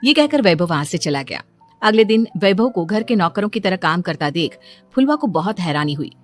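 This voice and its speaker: A woman's voice: fairly low pitch, big pitch swings, moderate pace, faint music behind. Woman narrating a cartoon story.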